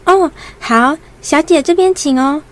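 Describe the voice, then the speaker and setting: High-pitched courteous waitress. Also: female voice, a little slow, soft background music. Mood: polite. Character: courteous young café waitress. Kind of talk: radio drama acting.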